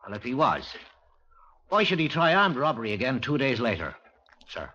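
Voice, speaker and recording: An actor playing a respectful, plain-spoken British detective sergeant in a 1950s radio drama. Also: male voice, mid-range voice, big pitch swings, normal speed, bright, forceful tone. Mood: respectful, inquiring. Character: deferential, questioning male police detective sergeant, loyal subordinate. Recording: dull, lo-fi 1950s radio recording.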